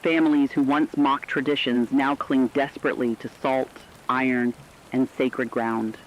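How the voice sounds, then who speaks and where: A stern male radio reporter played by an actor warns listeners in a mid-pitched, deliberate voice. The horror-drama script is read with foreboding, on a dull lo-fi recording with rainy noise. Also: little pitch movement, lightly acted.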